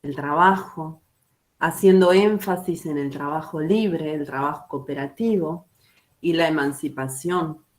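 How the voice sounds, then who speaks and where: Uruguayan woman speaking slowly and carefully. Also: deep voice. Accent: Uruguayan Spanish. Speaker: guest teacher. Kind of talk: presentation at an online book launch.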